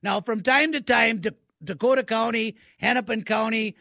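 A man's voice: very high voice, normal speed, gravelly, pushed and bright, no room sound, clean studio sound. A man, co-hosting a radio call-in show and giving tech advice with enthusiasm, repeating words for emphasis. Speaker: tech expert co-host.

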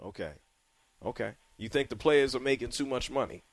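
A man hosting a sports talk radio show, nudging a caller along with quick questions. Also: medium pitch, no room sound. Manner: lively, prodding questions. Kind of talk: radio call-in chat.

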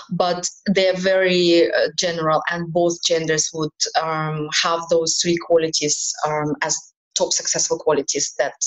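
A woman from Ukraine and Kazakhstan with a slightly low voice talks as a guest on a podcast. She explains her ideas in a thoughtful way and often pauses on "um.". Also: poor audio. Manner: thoughtful, explanatory, many ums. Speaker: relationship mentor, guest. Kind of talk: interview answers.

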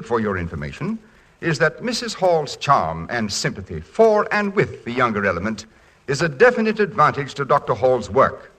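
An actor playing a formal, orderly college board chairman in 1940s radio, with a pompous, measured manner. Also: medium pitch, a bit bright. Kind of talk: 1940s radio comedy acting.

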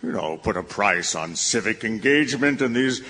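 A man's voice: on the low side, animated, moderate pace, pushed and bright. A man acting the part of an effusive, self-important city mayor in a comic radio play, repeating himself and chuckling. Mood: jovial, scheming. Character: jovial, self-important male city mayor courting donors and voters. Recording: live stage radio play, reverberant hall.

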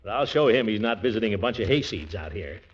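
Pompous, wildly animated old-time radio uncle. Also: male voice, on the low side, fast talker, slightly rough. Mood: self-important. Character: pompous, blustering middle-aged uncle, vain small-town big shot. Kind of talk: 1940s radio comedy acting. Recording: muffled vintage 1940s radio recording.

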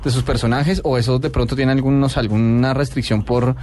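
A man co-hosting a casual radio interview, phrasing his questions in a halting, conversational way. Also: medium pitch, steady tone, a little mellow, a little hiss or hum. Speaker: radio co-host.

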